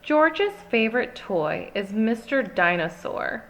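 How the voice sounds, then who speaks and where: Slow, animated woman storyteller. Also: a little high, slight background noise. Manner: expressive, clearly phrased for small children. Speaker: storybook reader. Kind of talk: scripted children's read-aloud.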